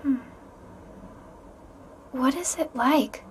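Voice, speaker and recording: High, hesitant, melancholy girl. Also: extremely slow, slight background noise. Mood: hesitant, subdued. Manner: hesitant, subdued. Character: reserved, melancholy young girl among her comrades. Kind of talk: video game voice acting.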